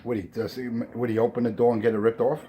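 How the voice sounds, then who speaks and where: A man's voice: medium pitch, level tone, quick pace, very noisy. A man chatting casually over the radio, affirming and ending lots of phrases with "man". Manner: says "man" often, affirming. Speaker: radio contact.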